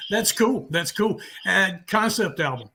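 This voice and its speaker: Laid-back man hosting, slightly high voice. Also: animated, takes it slowly, vocal fry, pushed and bright, breathy edge. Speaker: host of a live music talk show. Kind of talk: casual unscripted interview chat. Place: remote live stream call.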